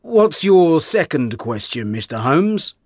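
A man's voice: fairly high pitch, big pitch swings, slow pace, a little mellow, no room sound, clean studio sound, pitched above the performer's own voice, heavily acted. A man voicing a self-assured, brusque police inspector who is easily cross, in a dramatised audiobook. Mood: confident.

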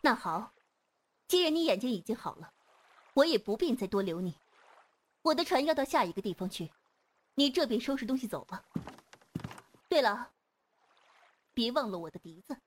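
A woman's voice: on the high side, very animated, normal speed, pauses often, a little hiss or hum. A woman playing a seductive, proud female sect leader in a wuxia audio drama, with a coy, teasing manner. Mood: cool, aloof. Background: music.

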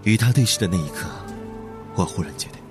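A man playing a gentle, solitary whale in a radio drama, narrating calmly and reflectively about his wandering life. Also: low-pitched. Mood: quiet wonder. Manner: calm, unhurried, reflective storytelling. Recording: music under the voice.

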